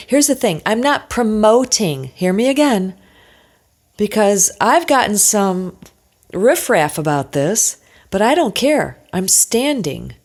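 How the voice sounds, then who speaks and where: A woman's voice: medium pitch, a little mellow. Emphatic woman teaching on scripture. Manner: emphatic, insistent, repeats points with rhetorical questions. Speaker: religious teacher and author. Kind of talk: informal religious teaching talk, part read, part ad-libbed.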